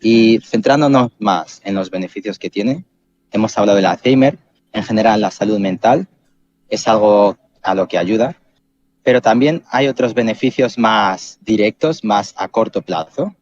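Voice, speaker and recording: A man hosting a podcast, calmly explaining ideas step by step with examples. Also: on the low side, moderate pace, no echo. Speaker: podcast host. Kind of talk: explanatory casual chat. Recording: dull, muffled sound.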